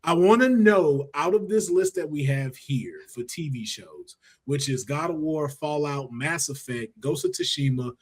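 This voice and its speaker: An American man hosting a casual podcast, talking in an animated, slangy and profane way. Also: a little high, unhurried, few pauses. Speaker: podcast host. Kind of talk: casual podcast chat about movies and games.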